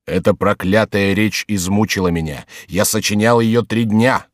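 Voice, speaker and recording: Man acting a slow, tipsy, gluttonous monk. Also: fairly low pitch, no echo, studio quality, lightly acted. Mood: slow, grumbling. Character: gluttonous, drunken, boastful male monk, slow and blustering. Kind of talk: dramatised audiobook character acting.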